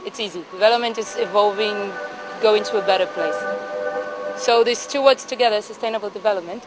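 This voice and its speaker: Calm woman explaining, music underneath. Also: mid-range voice, fairly quick, rough recording. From Brazil. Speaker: project coordinator. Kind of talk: documentary interview.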